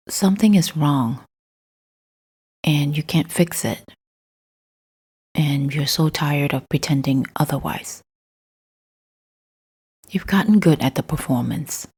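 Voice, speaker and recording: Female meditation guide, soft husky voice, mellow tone, very slow deliberate phrasing. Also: low-pitched. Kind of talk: scripted guided meditation, gentle spiritual talk.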